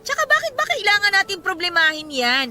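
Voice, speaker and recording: A woman's voice: very high-pitched, sing-song, fairly quick, heavy background noise. A woman playing an indignant, sarcastic single mother in a Filipino radio drama, with a confrontational manner. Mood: exasperated.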